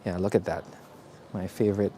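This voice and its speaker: A man from the Philippines hosting a live walking tour outdoors, talking in a relaxed, chatty way with many fillers. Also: sits low, a little fast. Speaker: vlogger. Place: city streets outdoors. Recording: faint street noise outdoors. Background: street traffic and ambience.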